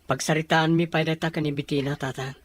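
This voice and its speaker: A man's voice: a little high, little pitch movement, fast, natural voice. A man acting an earnest, respectful young man who is in love, in a radio drama. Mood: respectful, hopeful.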